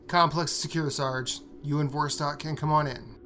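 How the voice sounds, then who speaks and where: A man's voice: a little high, steady tone, normal speed, bright, forceful tone, soft background music. A man playing an easygoing Marine in a science fiction audio drama, talking in a folksy, informal way. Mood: relaxed, businesslike.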